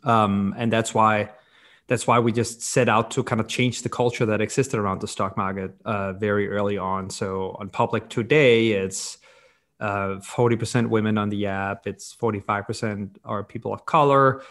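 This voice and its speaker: A man in his thirties from Denmark answering interview questions as a startup founder, informal and explanatory, with soft qualifiers. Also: lowish voice, fairly even intonation, normal speed. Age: 30s. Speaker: podcast guest, company co-founder and CEO.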